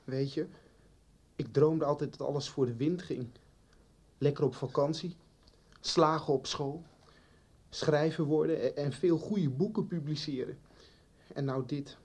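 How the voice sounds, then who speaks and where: A sensitive, defiant teenage boy in a radio soap. His voice is fairly high for a male, soft and husky. He speaks bluntly and with feeling. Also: measured pace, pauses often. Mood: wistful. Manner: blunt, emotional. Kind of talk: naturalistic 1990s Dutch radio soap acting.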